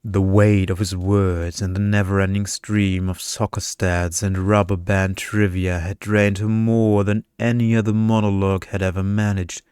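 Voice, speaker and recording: A man reading a scripted bedtime story in ASMR style, with a hushed, seductive delivery into a close mic. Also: low-pitched, little pitch movement, normal speed, hardly any pauses, clear, resonant tone, dry recording. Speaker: story narrator.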